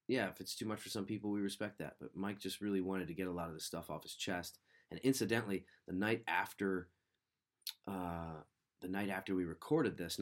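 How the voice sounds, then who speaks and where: Male podcast host, very low pitch, slightly nasal and sniffly, easygoing and sincere. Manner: relaxed, rambling, earnest. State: sniffly with a cold. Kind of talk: casual podcast intro.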